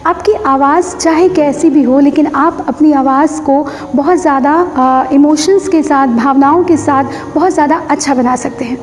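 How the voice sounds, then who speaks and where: A woman speaks in a high-pitched voice, presenting tips as an instructor. She explains step by step and restates key points for emphasis. The recording carries a long, echoing room reverb. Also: normal speed, few pauses, a little hiss or hum. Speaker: host of a public speaking tips channel. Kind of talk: instructional talk to camera.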